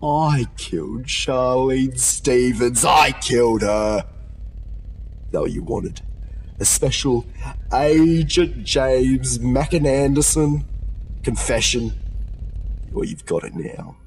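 Man acting slow, gravelly, troubled split-personality suspect. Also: medium pitch, a little hiss or hum, low-quality recording. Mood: taunting, bitter. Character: troubled suspect with two personalities, meek and menacing. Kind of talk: modern radio play acting.

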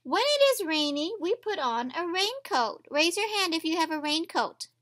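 A woman's voice: very high-pitched, sing-song, moderate pace, a bit bright. A woman teacher reading aloud to young children, instructing and putting questions to them directly. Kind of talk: read-aloud storybook lesson for young children. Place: indoors at a table, reading from a picture book.

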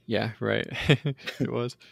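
A man's voice: mid-range voice, fairly even intonation, takes it slowly, vocal fry, no room sound, clean studio sound. A man co-hosting a podcast, casually recapping a TV episode in a rambling way with many fillers and restarts. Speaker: podcast co-host.

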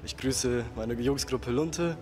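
A young man in his twenties, a contestant, speaking calmly into a handheld mic. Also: medium pitch, takes it slowly. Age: 20s. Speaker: show contestant.

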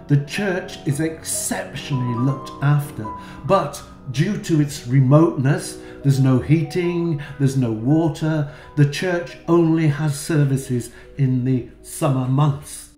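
Slow, dry-humoured man narrating a church tour. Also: on the high side, mellow, roomy sound. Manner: slow, dry wry asides. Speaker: church tour video host.

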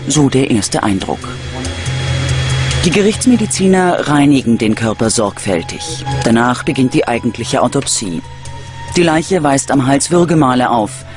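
A man delivering scripted true-crime documentary narration in a dramatic, measured, ominous manner. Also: mid-range voice. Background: background music.

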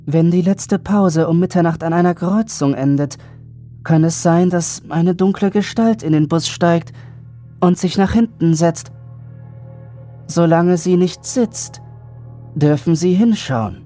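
Unnervingly calm, slow-speaking boy played by a woman. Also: on the low side, faint music behind, close to an everyday voice. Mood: grave, instructive. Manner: measured, matter-of-fact, unnervingly adult. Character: calm, self-assured, eerie supernatural young boy. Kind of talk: horror audio drama dialogue.

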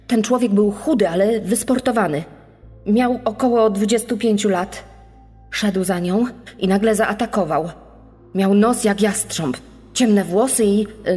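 An actress playing a shaken female hiker giving husky-voiced testimony as an eyewitness. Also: medium pitch, measured pace, a little mellow. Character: shaken female hiker who witnessed an attack. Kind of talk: dramatized witness testimony. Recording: long reverb, music underneath.

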